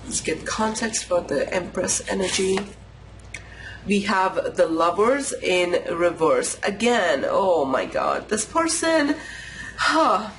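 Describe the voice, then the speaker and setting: A tarot reader giving a pick-a-card reading, voice nasal and a bit raspy from a cold, musing slowly with pauses. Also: mid-range voice, big pitch swings, fairly bright tone, slight background noise, low-quality recording. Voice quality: slightly raspy. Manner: unhurried, intuitive musing with pauses.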